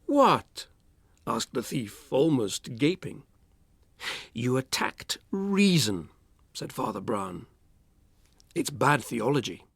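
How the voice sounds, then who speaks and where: A man reads fiction aloud with lively, swooping intonation. His voice has a gravelly creak and sits in a dry studio acoustic, giving the dialogue a dramatic lift. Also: medium pitch, slow pace, pauses often, no room sound. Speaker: reader. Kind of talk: dramatic audiobook-style reading of fiction.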